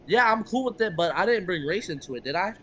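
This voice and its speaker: A man's voice: sits high, animated, fast talker, bright, forceful tone. A young man in casual game chat, indignant and slangy, repeating himself as he makes his point. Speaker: tabletop game player. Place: online voice chat during game.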